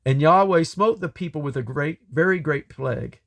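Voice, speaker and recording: A man reading scripture aloud in a solemn, deliberate way, breaking the text into measured phrases. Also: on the high side, gravelly, a bit bright. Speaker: Bible reader. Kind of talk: scripture reading, King James English.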